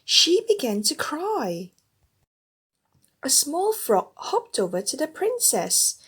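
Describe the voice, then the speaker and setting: Female storybook reader, high-pitched and hugely lively, addressing small children with expressive narration. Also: slow. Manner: animated, addressing young children and parents.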